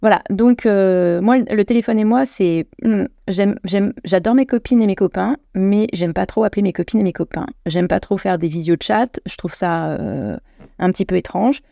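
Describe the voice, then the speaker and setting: French woman host, solo podcast chat, self-correcting, warm mellow voice. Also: medium pitch, moderate pace, vocal fry, dry recording, clean studio sound. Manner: chatty, self-deprecating, many restarts and self-corrections.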